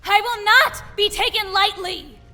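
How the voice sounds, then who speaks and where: Woman acting a high, fierce fire-powered giantess. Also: measured pace, faint music behind, full character voice. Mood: furious. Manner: dramatic, forceful delivery. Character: angry giant young woman with fire powers, defiant and desperate. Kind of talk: audio drama acting.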